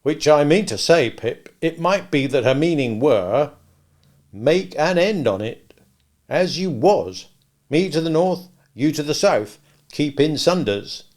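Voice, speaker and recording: A man voicing a kindly, slow-witted working-class blacksmith who talks ponderously in rustic grammar. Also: on the high side, lively intonation, darkish tone, pitched above the performer's own voice. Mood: earnest, patient.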